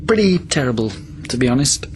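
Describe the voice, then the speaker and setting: An actor playing a desperate confined patient from the past in a radio drama, speaking in an emotional, halting, plaintive way. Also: male voice, medium pitch, very animated, background noise, natural voice. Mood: tentative. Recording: radio drama with music underneath.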